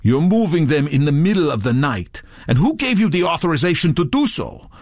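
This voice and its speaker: Audiobook villain, acted by a male narrator: middle-aged militant boss, accusatory, demanding, animated, dry sound. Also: a little high, measured pace, no room sound, voice pushed up, heavily acted. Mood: accusing. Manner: accusatory, commanding.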